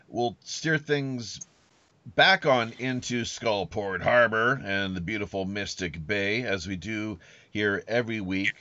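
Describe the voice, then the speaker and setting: A man's voice: fairly low pitch, fairly bright tone, no room sound, highs cut off. A man hosting an unscripted podcast in a rambling, folksy way, with playful pirate lingo. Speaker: podcast host.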